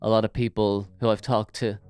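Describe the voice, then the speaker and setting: A man's voice: on the low side, little pitch movement, fairly quick, a little mellow, clean tone, no echo, faint music behind. An Irish man hosting a casual solo podcast, rambling and jokey with lots of fillers, speaking into a big studio microphone. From Ireland. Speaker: podcast host.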